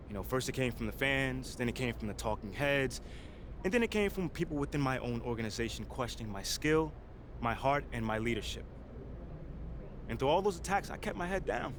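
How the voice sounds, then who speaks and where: Man acting as young American basketball star, proud, driven, earnest, animated intonation, game cutscene, noticeable background noise. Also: mid-range voice, lightly acted. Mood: measured, wounded. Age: young adult. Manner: earnest, colloquial, emphatic. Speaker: video game actor playing the lead character. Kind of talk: naturalistic cutscene acting, impassioned speech.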